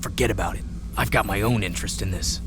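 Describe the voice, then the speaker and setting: An actor playing a tough, cool young hero in a video game, terse and confident. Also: male voice, fairly low pitch, fast talker, background noise, lightly acted. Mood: dry. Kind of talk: video game cutscene acting.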